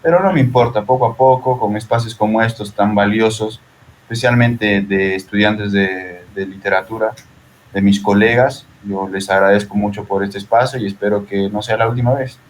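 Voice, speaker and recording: A man's voice: lowish voice, steady tone, moderate pace, a little hiss or hum. A man with a Peruvian accent talking casually and reflectively as an interview guest, often checking for agreement. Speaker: interview guest, poet and translator.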